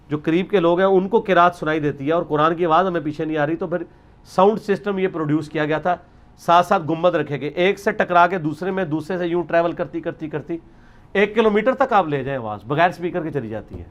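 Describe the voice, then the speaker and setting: A Pakistani man with a slightly high voice speaks as a religious lecturer. He talks off the cuff, building an argument point by point and explaining as he goes, dropping English words into his speech. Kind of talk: informal religious lecture, extempore.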